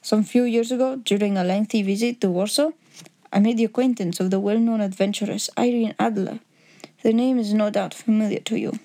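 A woman's voice: mid-range voice, steady tone, fairly quick, mellow, lightly acted. A woman voicing a proud, agitated male aristocrat in an audiobook story, speaking in a formal, pompous, grave way. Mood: formal, confiding. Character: huge proud Bohemian nobleman, secretly a king, agitated, formal.

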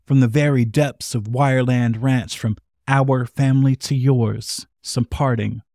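A man's voice: mid-range voice, steady tone, unhurried, warm tone, dry recording, clean studio sound. A man hosting a podcast, delivering a scripted, impassioned address in an earnest, rhetorical and emphatic manner. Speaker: podcast host.

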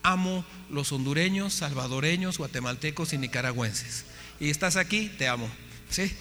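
A man's voice: a little high, moderate pace, bright, forceful tone, in a large hall, faint music behind. A man preaching a testimony sermon, impassioned and often asking his listeners questions. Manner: impassioned, asks the audience questions. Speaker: missionary preacher.

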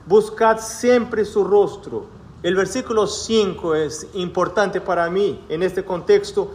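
A Brazilian man preaching in a church with a Portuguese accent, his voice sitting high for a man. He talks slowly and deliberately, pausing on little fillers as he looks for words. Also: slight background noise. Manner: slow, measured, with hesitant fillers. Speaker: pastor. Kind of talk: sermon.

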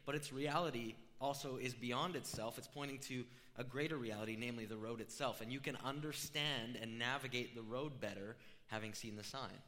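A man gives an informal, conversational sermon in a forceful, bright voice that is slightly rough from being under the weather. He explains things step by step like a storyteller, and his words ring through a large reverberant hall. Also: medium pitch. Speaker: pastor preaching a sermon. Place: large room, amplified before a congregation.

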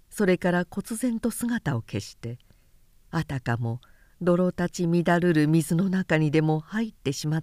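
A woman narrating a literary novel in audiobook style, with measured, deliberate phrasing and pauses between phrases. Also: low voice, a little mellow, no echo, studio quality. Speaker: narrator reading a novel aloud.

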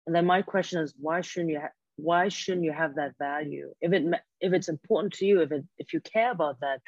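A woman's voice: low voice, fairly quick, a little mellow, no echo. A woman whose first language is German, a career coach talking earnestly in an interview and often restarting her words. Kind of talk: interview guest explaining advice. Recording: dull, dry lo-fi sound.

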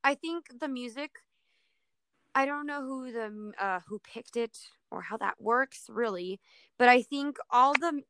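A woman with a very bright, crisp voice talks casually as a podcast panelist. She sounds informal and enthusiastic, at a middle pitch, recorded close and dry. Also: a little slow, no echo. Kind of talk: casual chat about a TV show.

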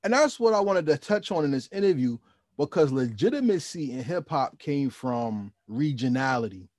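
A man's voice: medium pitch, big pitch swings, moderate pace, a little mellow, no room sound, studio quality. A man hosting a podcast, asking questions and musing on the history of the music in a reflective, lecturing way. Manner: reflective and lecturing, with repeated restarts. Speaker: podcast host. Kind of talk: interview questions and commentary.

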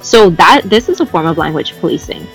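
Passionate Canadian woman, halting and searching. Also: fairly low pitch, animated, soft background music, poor audio. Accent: Canadian English. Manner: passionate, searching for words, restarts. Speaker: guest. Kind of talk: casual podcast conversation.

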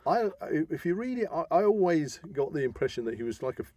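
A man hosting an informal discussion, explaining with halting enthusiasm and emphatic stresses. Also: a little high, very animated, warm tone, no room sound. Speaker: podcast host.

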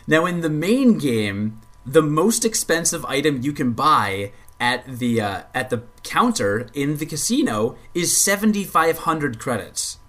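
A man's voice: on the high side, sing-song, a little slow, few pauses, fairly bright tone. A man co-hosting a casual gaming podcast, rambling and stammering with repeated words, and swearing when annoyed. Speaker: podcast co-host.

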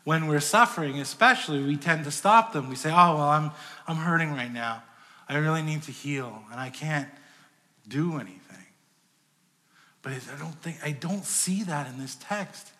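Male pastor, conversational sermon delivery, slightly high voice, reverberant church hall. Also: normal speed, pushed and bright. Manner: conversational preaching, dynamic swings in volume.

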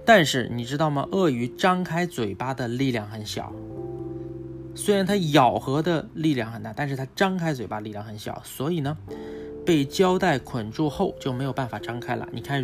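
A man's voice: medium pitch, lively intonation, moderate pace. Dad explaining things to his child over music. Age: adult, father of a young child. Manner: explains step by step with frequent check-in questions. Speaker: father and host teaching his child about animals. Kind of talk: casual educational chat with a child, explaining from a book. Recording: music behind the voice.

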